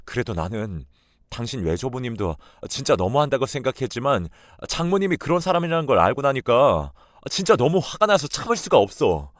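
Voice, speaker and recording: A man's voice: mid-range voice, very animated, brisk, no echo, clean studio sound, pitched above the performer's own voice, natural voice. A man voicing an indignant husband in a read-aloud story. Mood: enraged. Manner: loud, indignant. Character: gentle husband in a rage.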